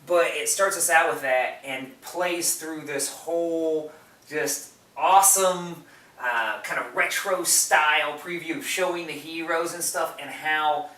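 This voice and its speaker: Male podcast co-host, bright and keen, gushing in casual film chat, picked up from across a roomy space. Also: on the high side, a little slow. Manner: enthusiastic, gushing. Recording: roomy, distant mic, background noise.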